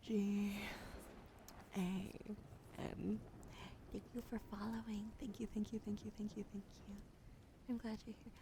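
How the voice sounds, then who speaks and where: A woman whispering softly and sleepily on an ASMR livestream. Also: mid-range voice, little pitch movement, noisy background. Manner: soft, slow, sleepy whispering. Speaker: ASMR streamer.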